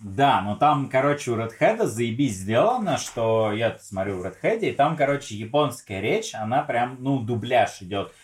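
A man in casual conversation, swearing a lot and retelling scenes with energy. His voice sits at a middle pitch. The room around him is echoey. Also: normal speed, few pauses. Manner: animated, swears freely, retells scenes with quoted lines. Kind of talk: casual chat.

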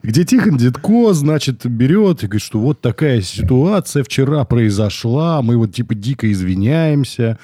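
A man's voice: mid-range voice, expressive, normal speed, hardly any pauses, dark tone, dry recording, studio quality. A man hosting a podcast in casual, colloquial, worked-up talk, congested with a cold. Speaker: podcast host.

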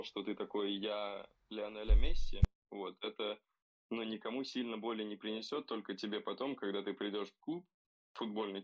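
A young man co-hosting a casual podcast from a remote setup, talking slowly and hesitantly with many fillers while slightly ill. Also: fairly low pitch. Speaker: podcast co-host. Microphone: remote recording.

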